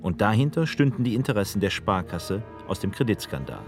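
A man narrating a radio documentary in a calm, measured way and asking interview questions. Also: lowish voice, soft background music.

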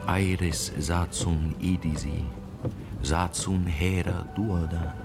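An actor playing a brooding night driver haunted by death, reciting a poetic radio drama monologue in a solemn, deliberate, incantatory way. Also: male voice, low voice. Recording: music behind the voice. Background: music.

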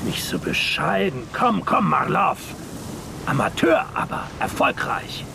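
Creaky, high-voiced, smug bomber. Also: male voice, very animated, heavily acted. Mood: smug, proud. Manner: mock-friendly, unhurried, sardonic. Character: smug Armenian nationalist bomber, falsely jovial captor. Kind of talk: German radio play acting. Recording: noisy engine and wind ambience. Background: loud boat or vehicle sounds.

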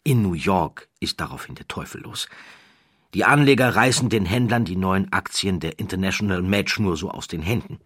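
A man narrating a scripted historical story as an audiobook narrator, in a measured, dramatic storytelling manner. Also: low voice, lively intonation, a bit bright, breathy edge, no room sound, clean studio sound.

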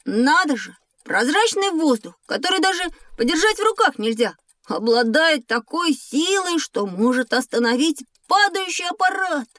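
Curious little schoolboy, high voice, playful and boastful, audio drama, very dry studio sound. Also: female voice, normal speed, no room sound. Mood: amazed. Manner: expressive, exclamatory, boyish. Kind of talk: scripted educational audio drama.

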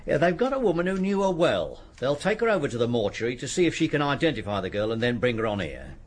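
A man's voice: mid-range voice, very animated, fast talker, breathy edge. An actor playing a helpful, businesslike policeman in a radio drama, speaking plainly and informally.

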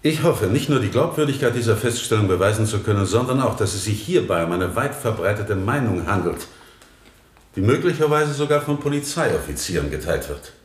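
An actor playing a shrewd defence attorney in a radio courtroom drama, questioning formally and deliberately. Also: male voice, on the low side, expressive, lightly acted. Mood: pointed, formal. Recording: roomy, faint noise, vintage radio production.

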